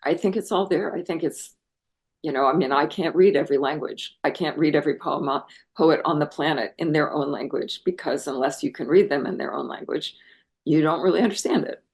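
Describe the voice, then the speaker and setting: Low-voiced earnest American woman. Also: a little mellow. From United States. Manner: earnest and enthusiastic, musing with many pauses. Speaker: guest scholar and translator. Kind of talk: reflective interview talk.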